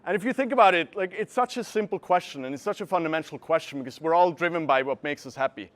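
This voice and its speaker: A young man from Switzerland, giving an earnest presentation and often pausing to search for words, recorded from a camera across a room. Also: a little high. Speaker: speaker. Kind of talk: presentation talk. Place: gallery room with a seated audience.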